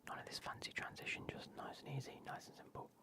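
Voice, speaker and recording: A man chatting in a whisper while streaming a game, with a cold coming on. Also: fast. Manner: whispered, chatty, repeats phrases. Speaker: gaming streamer. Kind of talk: whispered ASMR gaming livestream.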